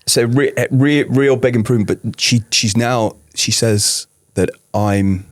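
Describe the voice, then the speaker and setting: A man co-hosting a podcast, halting and self-deprecating, with frequent restarts. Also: mid-range voice, dry recording, studio quality. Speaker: podcast co-host. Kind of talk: casual podcast chat.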